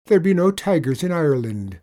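A man voicing a stubborn, quick-witted Irish tradesman who talks in a hearty brogue. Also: a little high, big pitch swings, pitched above the performer's own voice. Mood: scoffing. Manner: bold Irish brogue, shouting.

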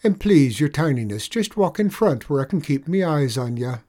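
A man voicing a stubborn, quick-witted Irish tradesman who talks in a hearty brogue. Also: fairly high pitch, big pitch swings. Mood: wry. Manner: bold Irish brogue, shouting.

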